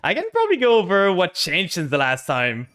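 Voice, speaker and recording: A man's voice: sits high, wildly swooping pitch, fairly quick, a bit bright, soft background music. A man with a French-Canadian accent, streaming a card game and talking his moves through with chat. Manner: thinks aloud, narrating each play to chat. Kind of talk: casual game stream commentary.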